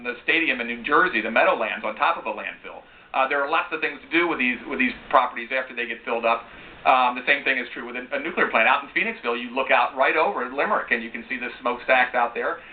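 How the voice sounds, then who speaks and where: A man speaking as a panelist on a live call-in talk show, explaining things in a rambling, halting way. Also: medium pitch. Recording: tinny lo-fi broadcast with hiss.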